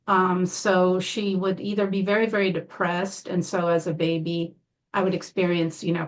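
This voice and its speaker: A woman speaks as an interview guest, her voice on the low side. She talks in a calm, measured way, often pausing with fillers as she works through hard memories. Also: poor audio. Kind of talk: interview, personal account.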